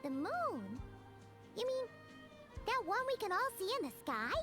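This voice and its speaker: A woman's voice: very high-pitched, sing-song, a little slow, fairly bright tone, faint music behind, heavily acted. A woman voicing a small, chirpy, childlike fairy companion in a video game, food-loving and dramatic. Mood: chatty, curious. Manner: speaks of self in third person.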